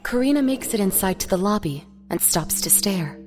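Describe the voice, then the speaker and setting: A woman's voice: mid-range voice, expressive, moderate pace, darkish tone, soft background music. A woman narrator reading a scripted, dramatic story.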